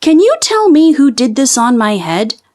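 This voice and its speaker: A woman's voice: high voice, big pitch swings, moderate pace, darkish tone. A woman voicing a small, indignant male mole in a children's storybook, demanding and emphatic. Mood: hopeful.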